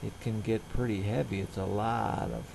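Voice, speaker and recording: A middle-aged man casually narrating everyday tasks in a halting, rambling, plain-spoken way. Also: lowish voice, fairly even intonation, gravelly, background noise. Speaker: vlogger.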